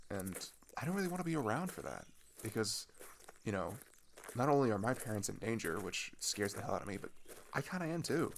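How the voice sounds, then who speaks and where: A young man playing a scared, grieving older teenage boy in an intimate audio roleplay, talking in a halting, casual, rambling way. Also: fairly low pitch, creaky, a little hiss or hum. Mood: anxious. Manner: halting, rambling, casual youthful speech with sighs.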